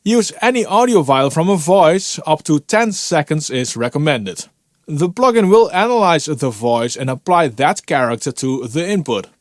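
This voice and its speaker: A man's voice: fairly high pitch, animated, normal speed, dry recording, studio quality. A man presenting a product review as a scripted, explanatory narration. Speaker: host of an audio plugin review.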